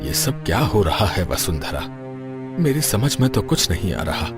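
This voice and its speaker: A man's voice: deep voice, big pitch swings, moderate pace. A man voicing a humble hesitant brahmin. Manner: deferential, hesitant. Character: humble, honest male brahmin. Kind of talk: cartoon voice acting. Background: background music.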